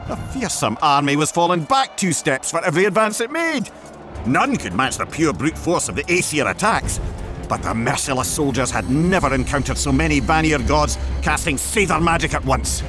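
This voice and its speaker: A man narrating a scripted mythological tale in a theatrical, emphatic, dramatic storytelling style. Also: mid-range voice. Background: background music.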